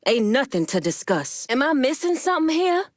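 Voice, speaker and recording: An actress voicing a proud, shrewd, militant woman crime boss in a video game, speaking in a blunt, deliberate, streetwise way with plenty of swearing. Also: sits high, sing-song, dry recording, clean studio sound. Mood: angry, demanding. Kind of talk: dramatic video game character acting.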